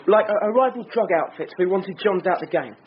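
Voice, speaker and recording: A man's voice: high voice, lively intonation, brisk, highs cut off, a little hiss or hum. A man with a British accent acting in a radio drama as an eager junior detective. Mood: eager, speculative. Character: eager junior male detective floating theories. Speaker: actor.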